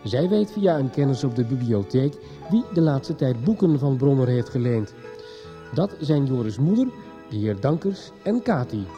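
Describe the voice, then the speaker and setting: A man's voice: mid-range voice, mellow. A man narrating a scripted recap for a radio soap. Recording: dull, band-limited 1990s radio recording.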